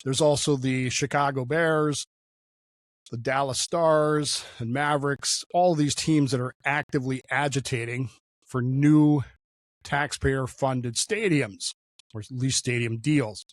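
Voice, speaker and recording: A man's voice: mid-range voice, fairly even intonation, unhurried, dry recording. Man musing aloud in a dry room. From Oklahoma, raised in Denver. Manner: conversational, digressive, frequent fillers. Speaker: show host. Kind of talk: solo opinion monologue.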